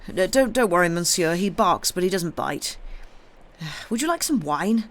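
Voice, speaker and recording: A man's voice: high-pitched, expressive, moderate pace, a bit bright. A man voicing a fawning, garrulous innkeeper who stammers and complains as he serves, in an audiobook. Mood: flustered, eager. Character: fawning, garrulous, self-pitying male French innkeeper.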